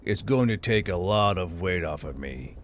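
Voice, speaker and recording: A man's voice: sits low, animated, normal speed, slight background noise, voice pushed down. A man voicing a hopeful male dwarf engineer prince in a fantasy audiobook. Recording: music behind the voice.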